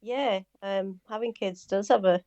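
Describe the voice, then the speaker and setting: A woman's voice: mid-range voice, measured pace, warm tone, dry recording, dull audio. A woman in her thirties with a Welsh accent, a guest on a podcast interview, chatting in a light, easy way and laughing readily. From Wales. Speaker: guest, firefighter.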